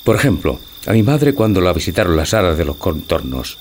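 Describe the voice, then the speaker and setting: An actor playing a gentle, dreamy old husband who schemes, declaiming tenderly in a theatrical audio drama. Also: male voice, low voice, big pitch swings, a little fast, slight background noise. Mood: dreamy, wistful. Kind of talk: theatrical radio drama acting.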